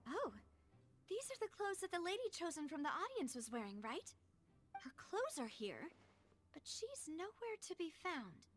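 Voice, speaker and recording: A woman's voice: very high-pitched, very animated, normal speed, fairly bright tone, full character voice. A woman playing an earnest, inquisitive young female investigator in an anime video game, speaking in an articulate, theatrical manner. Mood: puzzled.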